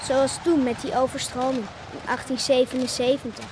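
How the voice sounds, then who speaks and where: Woman as an earnest girl, high voice, noisy recording. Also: level tone, moderate pace, lightly acted. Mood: serious. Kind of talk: Dutch radio play acting. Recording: noisy old radio play recording.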